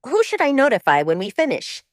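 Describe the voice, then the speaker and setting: A woman's voice: high voice, wildly swooping pitch, a little fast, no room sound, voice pushed up. A woman narrator plays a young male pack doctor in a romance audiobook. He is courteous and professional, deferring politely, with very varied intonation in a dry recording. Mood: friendly, courteous. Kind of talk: audiobook romance drama acting.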